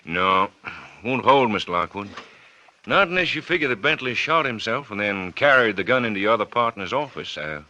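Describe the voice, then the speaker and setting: An actor playing a probing homicide police captain with brisk, clipped, sceptical questioning in 1940s radio style. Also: male voice, lowish voice, lively intonation, bright, forceful tone. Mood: probing, suspicious.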